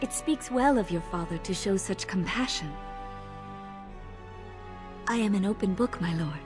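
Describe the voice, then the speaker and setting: A woman playing a modest, gentle elven lady-in-waiting in a video game, speaking politely and deferentially. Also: medium pitch, a little slow, lightly acted. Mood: modest, tender. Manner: polite, deferential, soft-spoken. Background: music.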